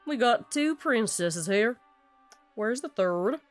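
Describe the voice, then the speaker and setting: Woman as a folksy, lively farm pony. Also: fairly high pitch, slow, pitched above the performer's own voice. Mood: smug. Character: sensible, smug, folksy female farm pony. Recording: faint music behind the voice.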